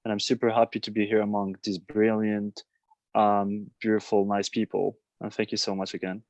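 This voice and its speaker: A man's voice: lowish voice, monotone, normal speed, darkish tone, no echo, highs cut off. A man from Morocco, an NGO worker introducing himself on an online panel with upbeat, effusive thanks.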